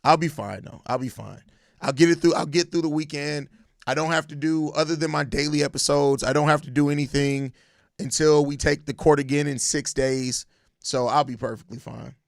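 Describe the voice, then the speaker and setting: An American man with a hoarse, raspy voice worn out from overuse, chatting casually and emphatically in slang as a solo sports podcast host. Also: a little high, fairly even intonation, moderate pace, no room sound, clean studio sound. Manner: slangy, emphatic, repeats phrases. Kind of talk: casual live-stream sports chat answering viewer comments.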